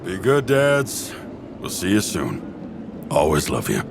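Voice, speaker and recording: An actor playing a big, gruff but soft-hearted man, gravelly and reluctantly affectionate. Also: low-pitched, huge pitch swings, takes it slowly, exaggerated voice. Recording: clear vehicle noise behind.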